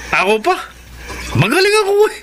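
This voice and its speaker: Brief: boastful lying ladies' man, high animated voice, melodrama, noisy recording. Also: fairly quick, full character voice. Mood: smug boasting. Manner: boastful, blustering. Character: cocky deceitful live-in lover, boastful womanizing drinker. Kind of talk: Filipino radio-style melodrama acting.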